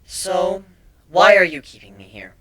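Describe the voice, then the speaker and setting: A man's voice: high-pitched, sing-song, measured pace, crowd noise, voice pushed up. A man voicing a sarcastic, defiant female changeling held captive in a dramatic story reading, with a biting, exasperated delivery. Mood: irritated.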